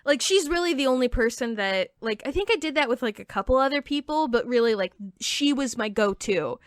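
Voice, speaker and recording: A woman's voice: high voice, lively intonation, dry recording, studio quality. Chatty young woman telling stories. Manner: chatty storyteller, many "like" fillers, quick jokes. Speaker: comedian guest. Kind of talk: casual podcast chat.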